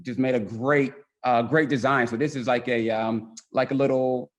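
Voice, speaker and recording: A man's voice: mid-range voice, steady tone, moderate pace. A man casually explaining a picture book, hesitant and repeating his phrases as he goes. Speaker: guest story presenter.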